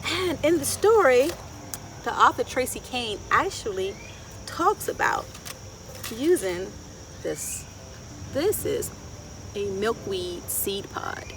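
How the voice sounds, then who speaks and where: A woman in her thirties presenting to young children outdoors, asking them questions in an expressive sing-song way. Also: fairly high pitch, takes it slowly, long pauses. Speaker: children's story time host and garden educator. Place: outdoors in a wooded garden. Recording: clear outdoor background noise, insects chirring. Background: insects and outdoor ambience.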